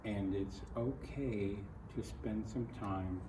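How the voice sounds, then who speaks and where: A man's voice: on the low side, slow pace. An older man reading a children's picture book aloud, with folksy, grandfatherly asides spoken directly to young listeners. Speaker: storybook reader. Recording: roomy echo, distant mic, noisy background.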